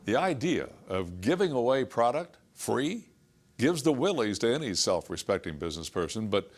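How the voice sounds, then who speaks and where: Man, scripted feature narration, mid-range voice, lively intonation. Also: mid-range voice, normal speed.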